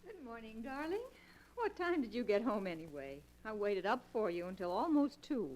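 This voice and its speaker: A woman's voice: on the high side, very animated, moderate pace, darkish tone, rough recording. A woman playing a tender, solicitous wife in 1940s radio drama style. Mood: tender, concerned. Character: devoted, gently worried wife of the locksmith. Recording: vintage 1940s radio recording.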